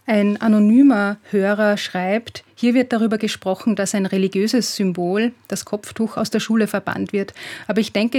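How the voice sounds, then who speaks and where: A woman hosting a radio talk show, speaking in a measured, formal way. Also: medium pitch, mellow. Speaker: radio host.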